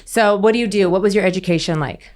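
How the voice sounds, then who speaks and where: A woman's voice: mid-range voice, quick pace, a little mellow. A woman hosting an interview podcast, asking eager questions in a friendly, conversational way. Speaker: podcast host.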